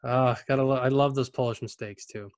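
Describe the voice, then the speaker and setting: Young male podcast host, laid-back, filler-heavy, mid pitch, very dry close recording. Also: a little fast, no echo. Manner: relaxed, many fillers, trails off quietly. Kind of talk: casual interview chat.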